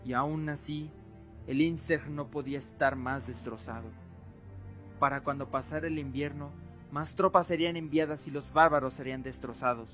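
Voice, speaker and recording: A man's voice: on the high side, fairly even intonation, normal speed. Narrator for fantasy radio drama: man, solemn scripted delivery, lo-fi sound with music underneath. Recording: dull lo-fi audio with music behind.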